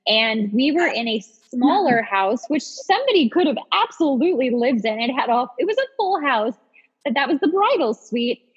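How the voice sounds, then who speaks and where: A woman in her thirties with a husky voice, chatting casually and telling stories in an animated, self-deprecating way as a podcast host. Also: on the high side. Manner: animated, self-deprecating storyteller who acts out quotes. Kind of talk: casual chat and storytelling. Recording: dull audio with long reverb.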